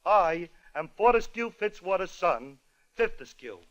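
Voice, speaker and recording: A man's voice: sits high, expressive, measured pace, highs cut off. A man voicing a courtly, mock-romantic bandit leader in a 1940s radio comedy parody, on a noisy old recording. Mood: romantic melodrama. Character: gallant, suave, melodramatic male bandit leader in operetta.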